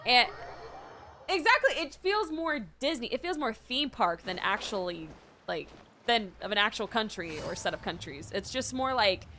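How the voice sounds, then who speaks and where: A young American woman from Florida chatting casually as she streams a game, bubbly, expressive and rambling. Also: fairly high pitch, moderate pace, slight background noise. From Florida, United States. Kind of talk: casual reaction chat while gaming. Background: soft game music.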